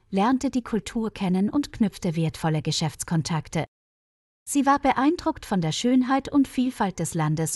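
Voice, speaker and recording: A woman reads a scripted story for language learners, phrasing each sentence clearly. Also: fairly low pitch, darkish tone, dry recording, studio quality. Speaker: narrator of language-learning stories. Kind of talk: scripted narration.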